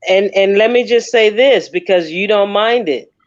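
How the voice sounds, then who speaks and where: A man on a discussion panel, speaking in a deliberate, lecturing way and repeating points. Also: high voice, no room sound, muffled recording. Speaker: panel guest.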